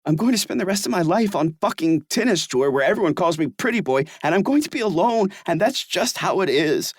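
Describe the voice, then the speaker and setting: A man voicing a volatile, distraught young male tennis pro in an audiobook, yelling and swearing in anguish. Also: a little high, expressive, unhurried, no room sound, clean studio sound, pitched above the performer's own voice.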